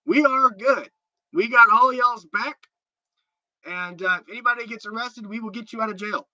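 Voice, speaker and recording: Stuffed-up man, sharp bright voice. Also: very high-pitched, normal speed, dry recording, muffled recording. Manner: rambling and chatty, with repeated restarts. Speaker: livestream host. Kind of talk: casual livestream chat, reading out viewer comments.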